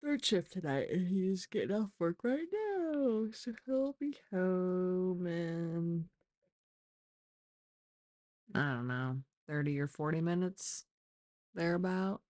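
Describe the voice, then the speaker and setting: A woman with a low voice hosts a casual live stream. She talks informally and gives her opinions freely, and the sound is close and dry. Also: big pitch swings, slow, darkish tone, no room sound. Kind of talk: casual chat, true crime commentary.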